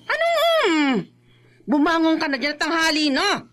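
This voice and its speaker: A woman playing a nagging, exasperated wife in a radio drama, scolding with a sharp tongue. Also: extremely high pitch, expressive, normal speed. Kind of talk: emotive radio drama acting.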